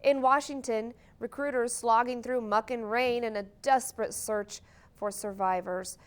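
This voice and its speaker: A woman's voice: on the high side, fairly even intonation, a little slow, clear, resonant tone. An American woman anchoring a morning newscast, reading scripted news in a TV studio.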